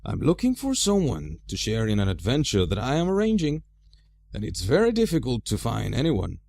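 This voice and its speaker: A man's voice: mid-range voice, wildly swooping pitch, normal speed, vocal fry, a little mellow, no room sound, heavily acted. Man voicing a testy, swooping old storybook wizard. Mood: businesslike.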